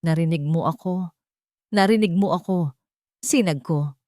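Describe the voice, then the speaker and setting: A woman voicing a tender, emotional housemaid in an audio drama, with a soft, trembling, affectionate manner and a Filipino lilt. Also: on the low side, unhurried, no echo, studio quality, natural voice. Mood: trembling, overjoyed. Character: tender, emotional young housemaid devoted to a child.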